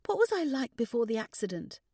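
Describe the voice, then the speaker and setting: A woman's voice: on the high side, big pitch swings, a little fast, warm tone, slightly rough, dry recording, studio quality, pitched above the performer's own voice, heavily acted. A woman voicing a fragile, anxious married woman in an audiobook, hesitant, emotional and vulnerable. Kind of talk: audiobook character dialogue.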